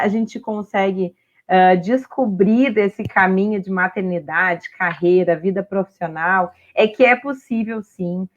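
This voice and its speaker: A woman's voice: mid-range voice, muffled recording. A Brazilian woman hosting a casual livestream interview, talking conversationally with frequent tag questions. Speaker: host of an online women's talk series.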